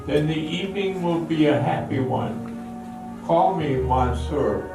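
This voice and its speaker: Man playing a slow, courtly waiter in an echoey hall. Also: on the high side. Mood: gracious. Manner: formal, courtly. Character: courteous French restaurant waiter, gracious and attentive. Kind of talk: stage radio drama acting. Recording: echoey hall from far off, music.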